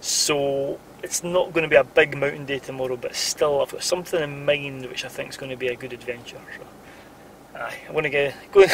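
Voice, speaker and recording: A man's voice: a little hiss or hum, poor audio. A Scottish man in his forties chatting to camera from inside a car at night, rambling with small laughs. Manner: hushed and low at bedtime. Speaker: vlogger. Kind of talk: casual vlog to camera. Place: lying in bed in the back of a car. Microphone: camera on dashboard close up.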